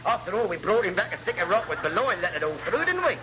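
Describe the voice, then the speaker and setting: A man's voice: high voice, very animated, fast talker. An English man playing a crafty, cheeky chief petty officer, mock-humble and long-winded, in a classic radio sitcom. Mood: sly. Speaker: actor in radio comedy. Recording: muffled, noisy old radio recording.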